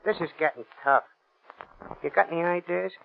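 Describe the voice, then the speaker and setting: An actor playing an uneasy petty bootlegger, grumbling in slangy American talk in 1930s radio drama style. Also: male voice, on the high side, moderate pace, creaky, a little hiss or hum. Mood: uneasy, defensive. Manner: slangy, complaining tough talk. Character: petty male whiskey bootlegger, uneasy, grumbling small-time crook. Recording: muffled 1930s radio recording.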